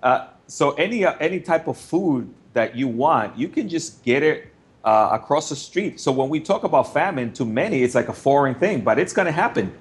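A man's voice: a little high, lively intonation. A man from the Dominican Republic, a pastor co-hosting a broadcast discussion, affirming his partner with many fillers. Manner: affirming, fillers, quick laughs. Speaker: pastor and co-host.

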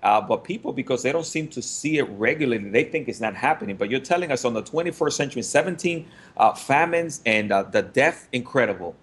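Male pastor co-host from the Dominican Republic, warm agreeing interjections and fillers. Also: mid-range voice, animated. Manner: affirming, fillers, quick laughs. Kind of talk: conversational broadcast discussion.

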